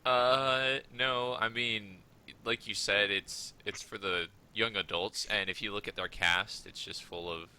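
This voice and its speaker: A man's voice: fairly low pitch, fairly even intonation, measured pace, pushed and bright, clear, resonant tone. A man co-hosting a podcast in casual chat, loosely correcting himself as he goes. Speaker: podcast co-host.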